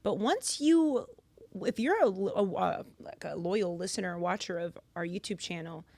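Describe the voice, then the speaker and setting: A young woman from Massachusetts, a podcast guest telling her story in casual chat, animated and louder for emphasis, on a studio boom mic. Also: normal speed, no room sound. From Massachusetts, United States. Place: podcast studio with purple lighting.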